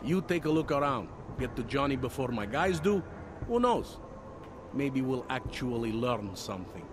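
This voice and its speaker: A man's voice: medium pitch, sing-song, moderate pace, noisy background, close to an everyday voice. A man playing a wry, weary veteran cop. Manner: wry, world-weary, half-joking. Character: weary veteran male city police detective. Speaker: game character. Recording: game cutscene with loud music.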